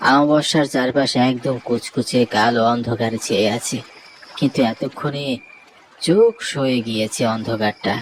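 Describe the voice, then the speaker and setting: A man's voice: mid-range voice, natural voice, rough recording. An actor voicing an anxious young man who is scared of ghosts, telling a horror cartoon story in the first person. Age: young adult.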